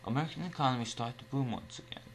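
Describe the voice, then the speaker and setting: A man's voice: medium pitch, racing, a little hiss or hum. A man reading scripted newsreel narration as the narrator.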